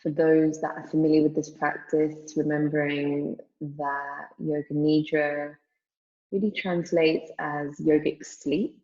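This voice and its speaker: Female meditation guide: low, even-toned delivery, soothing and measured, lots of space between phrases, slightly dull recording. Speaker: yoga nidra meditation teacher. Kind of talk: guided meditation, spoken slowly with long pauses.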